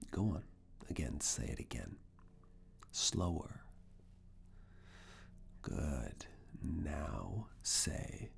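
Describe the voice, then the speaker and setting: A man performing an intimate ASMR roleplay as a stern, teasing professor, speaking deliberately in a breathy whisper and low murmur. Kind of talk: ASMR personal attention roleplay.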